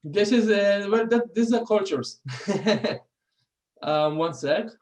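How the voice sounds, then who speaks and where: A middle-aged man with an Israeli accent gives an informal talk. His voice sits slightly high, and he speaks haltingly, often pausing on "um" and restarting his sentences. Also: a little mellow. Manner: halting, many ums and restarts. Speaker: guest speaker.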